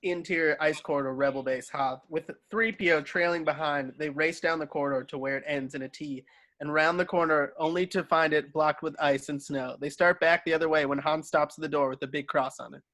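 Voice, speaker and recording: A man's voice: on the high side, fairly even intonation, fairly quick, a bit bright. A man reading screenplay stage directions as narrator of a table read.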